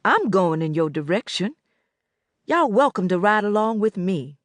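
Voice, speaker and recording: A dignified middle-aged gentleman, measured and earnest in his speech, as a woman reader voices him. His words come out firm and settled, in a dry, close recording. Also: mid-range voice, big pitch swings, no room sound. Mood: inviting. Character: dignified middle-aged man, smooth, health-minded.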